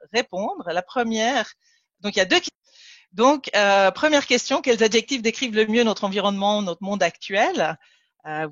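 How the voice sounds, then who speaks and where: A woman's voice: mid-range voice, fairly bright tone, no echo. A woman from Ticino, Switzerland, whose native language is Italian, leads an online training webinar with many fillers and restarts. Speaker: trainer and consultant. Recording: dull lo-fi dry call audio.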